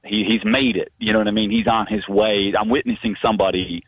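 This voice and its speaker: A man's voice: fairly low pitch, animated, quick pace, no echo. A man phoning in to a radio show, casually reminiscing in a rambling way with lots of "you know" asides. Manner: rambling, frequent 'you know' asides. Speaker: phone-in guest sharing memories. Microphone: telephone. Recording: muffled phone line on radio.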